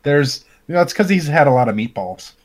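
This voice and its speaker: A man's voice: a little high, lively intonation, brisk, a little mellow. A man co-hosting a podcast, teasing the others with jokey asides. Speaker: podcast co-host. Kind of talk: casual chat.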